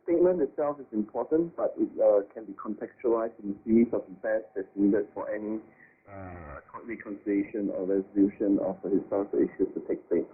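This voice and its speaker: A man speaking as a guest commentator in a radio discussion, deliberate and halting, over a shortwave broadcast. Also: fairly low pitch, narrow, tinny audio, slight background noise.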